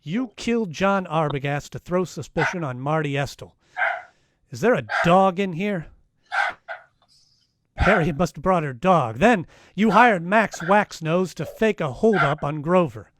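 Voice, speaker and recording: A man's voice: a little high, animated, a little slow. A man playing a sardonic, wisecracking private detective in the style of 1940s radio drama. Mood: taunting. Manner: sardonic, taunting, wry. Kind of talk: 1940s radio detective acting, live performance. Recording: noisy home live-stream recording.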